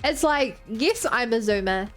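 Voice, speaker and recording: A woman's voice: a little high, expressive, a little slow, faint music behind. A woman in her twenties streaming a game, rambling and joking as she talks to her chat. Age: 20s. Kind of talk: casual gameplay commentary.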